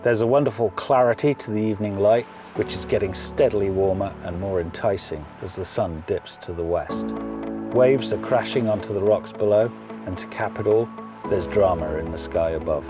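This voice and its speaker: A man narrating an instructional film in the first person, explaining his choices in a measured way. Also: fairly low pitch. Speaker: photographer narrator. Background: music.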